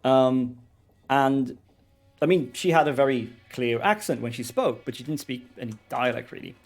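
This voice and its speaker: A man's voice: mid-range voice, normal speed, faint music behind. A man with a Swedish accent, a teacher and game streamer chatting casually, rambling and hesitant with many ums and restarts.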